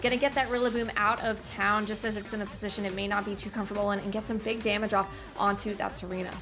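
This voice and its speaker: A woman calls a competitive match at a rapid clip, breaking down each move in a brisk, analytical way. Steady music plays clearly underneath her voice. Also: medium pitch. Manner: brisk, analytical, self-correcting. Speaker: esports commentator. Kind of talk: play-by-play game commentary. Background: background music.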